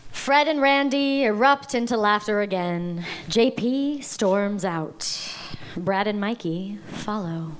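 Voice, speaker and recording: A woman reading the stage directions aloud at a live table read, steady and measured. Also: mid-range voice. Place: live venue.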